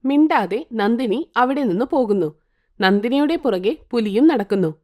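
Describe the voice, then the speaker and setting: Brief: female narrator for cartoon stories, expressive, high and lively. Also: normal speed. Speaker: storyteller. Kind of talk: scripted story narration.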